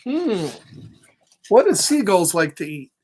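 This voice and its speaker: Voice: mid-range voice, big pitch swings, slow, a little mellow, breathy edge. A children's storyteller reading a picture book casually, in simple repeated phrases, checking in with listeners.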